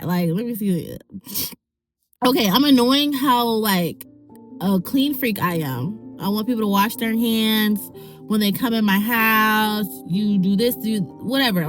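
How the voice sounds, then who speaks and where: A young woman with a nasal voice hosting her own podcast, chatty and self-mocking in a casual solo talk. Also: mid-range voice. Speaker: podcast host.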